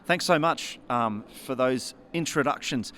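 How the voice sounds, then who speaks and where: A man's voice: mid-range voice, measured pace, bright, forceful tone, a little hiss or hum. A man moderating a panel, introducing guests and asking questions in a friendly, conversational way. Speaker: moderator, host.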